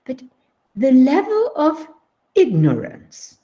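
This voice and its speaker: A woman's voice: high voice, wildly swooping pitch, takes it slowly, dark tone. An older woman born in the Netherlands and raised in Latin America, thinking aloud in an unscripted academic panel talk, with frequent restarts and "you know".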